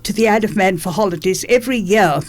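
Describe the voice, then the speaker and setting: An elderly woman speaks at a middling pitch for a woman. She is reminiscing as a guest in a radio interview. She repeats phrases to press a point home. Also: quick pace. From England, born in London. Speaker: interviewee, former politician.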